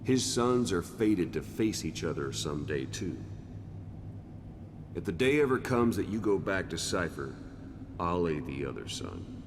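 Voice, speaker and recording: An actor playing a calculating, coolly menacing spymaster in a dramatic game cutscene, speaking in a measured, portentous way. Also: male voice, deep voice, sing-song, heavily acted. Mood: calm menace. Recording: noisy track with long hall reverb.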